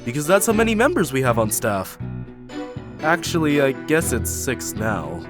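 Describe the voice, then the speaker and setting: A man's voice: medium pitch, very animated, over music, natural voice. Man doing a spaced-out rambling hippie yak. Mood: spacey. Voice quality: dreamy, rambling, drawn-out. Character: spacey laid-back male yak, hippie spa worker.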